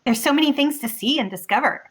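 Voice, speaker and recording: A woman's voice: high voice, expressive, fast. A chirpy, eager woman playing a tabletop role-playing game in character over a video call. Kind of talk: casual in-character role-play chat.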